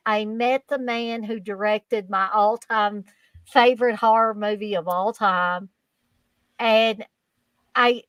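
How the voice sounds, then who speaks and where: A woman's voice: medium pitch, fairly bright tone, dry recording, poor audio. A woman with a Kentucky accent, telling a story as a podcast guest in an earnest, effusive way, often repeating herself. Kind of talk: casual storytelling chat.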